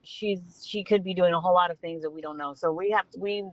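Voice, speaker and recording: A woman's voice: fairly low pitch, steady tone, fast talker, no echo. A middle-aged woman chatting casually as a guest on a phone call. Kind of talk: casual chat. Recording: dull noisy phone call.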